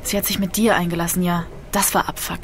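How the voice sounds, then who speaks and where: An actress playing a bitter, defiant young daughter who demands answers, in a German audio drama. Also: female voice, lowish voice, flat delivery, fast, a little hiss or hum, close to an everyday voice. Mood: angry, defiant.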